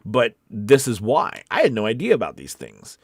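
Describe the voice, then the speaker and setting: A man's voice: mid-range voice, wildly swooping pitch, moderate pace, husky, no room sound, clean studio sound. An American man hosting a casual live stream as a coach, chatting to viewers in an emphatic way and repeating his key points. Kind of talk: casual livestream chat reading out viewer comments.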